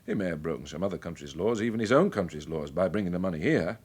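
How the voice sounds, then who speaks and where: An actor playing a worldly, middle-aged British police chief in a radio drama, measured and wry as he explains things. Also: low-pitched, lively intonation, a little mellow, slightly rough. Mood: dry, explanatory. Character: worldly, wry senior police chief briefing his sergeant.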